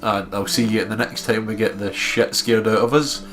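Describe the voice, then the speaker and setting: A man's voice: on the low side, steady tone, normal speed, a bit bright, faint music behind. A man with a blocked, nasal voice doing narration for a visual novel let's play. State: congested.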